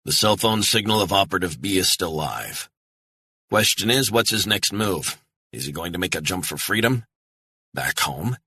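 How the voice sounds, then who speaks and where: A man's voice: low voice, expressive, moderate pace, sharp tone, husky, no room sound, heavily acted. A man voicing a worried male intelligence chief who talks in an urgent, clipped way.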